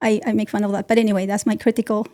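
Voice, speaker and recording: A woman's voice: mid-range voice, level tone, rapid-fire, warm tone. A woman speaking as a podcast guest, explaining ideas informally and discursively with non-native phrasing. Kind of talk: conversational explaining.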